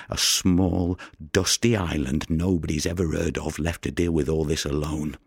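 A man's voice: low-pitched, creaky, breathy edge, dry recording, clean studio sound, full character voice. A man acting a weary, bitter Italian fisherman in an audio drama monologue, with a wry, brooding, coarse-tongued manner. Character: weary, bitter male Italian fisherman turned body recoverer.

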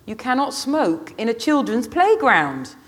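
A man's voice: sits high, lively intonation, fast talker, a bit bright, voice pushed up. A man voicing an indignant old woman, stern and reproving, in an audiobook story.

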